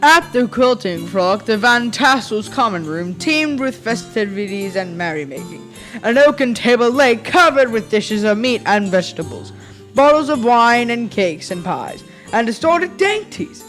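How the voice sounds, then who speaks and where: A woman's voice: fairly high pitch, expressive, a little slow, fairly bright tone, faint music behind. A female performer playing the formal storyteller who narrates a folk tale in a school radio play. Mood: storytelling. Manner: formal, literary phrasing.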